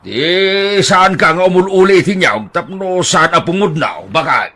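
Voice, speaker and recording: A man's voice: sits high, animated, measured pace, sharp tone, full character voice. An actor playing a teasing older husband who gambles, bantering with his wife in an audio drama.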